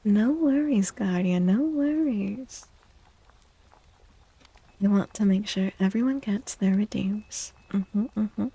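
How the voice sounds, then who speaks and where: A woman hosting a soft-spoken ASMR livestream, hushed and breathy, often slipping into whispers and gently repeating phrases. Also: medium pitch, slow pace, no room sound, low-quality recording. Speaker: livestream host, tarot reader. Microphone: close microphone in a dry space.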